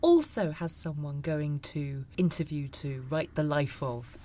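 A woman's voice: low-pitched, unhurried, mellow, slight background noise. A woman interviewing an author, asking long, eloquent questions about the author's books. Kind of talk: literary interview.

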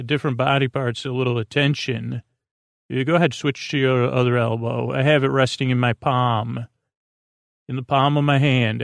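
A man with a creaky, gravelly voice rambles through a bedtime podcast monologue, halting, repeating himself and trailing off as host. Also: mid-range voice, dry recording, studio quality. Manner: meandering, halting, repetitive, trailing off.

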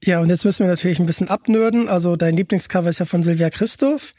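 A man's voice: high voice, quick pace, dark tone, no room sound, studio quality. A man hosting a casual fan podcast, chatting in an enthusiastic way. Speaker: podcast host.

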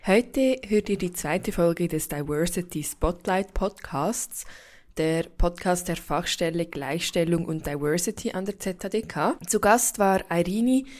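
A woman's voice: lowish voice, measured pace, darkish tone. Upbeat woman reading a podcast intro. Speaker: podcast host doing the intro.

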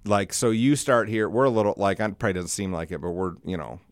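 A man's voice: on the low side, fast talker, clear, resonant tone, no echo. A middle-aged man hosting a podcast, chatting casually and comedically with a teasing, profane streak. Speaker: podcast host.

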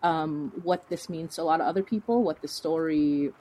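A woman's voice: deep voice, normal speed, mellow, rough recording. A woman speaking as a podcast guest, answering in an earnest, reflective, conversational way. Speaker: guest, fiction podcast creator.

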